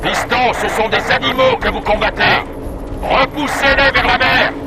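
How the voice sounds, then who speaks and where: An actor playing a ruthless, domineering colonel in a game, giving harsh, commanding orders. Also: male voice, very high voice, normal speed. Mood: domineering anger. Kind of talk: military radio dialogue in a game. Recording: very loud vehicle and battle noise.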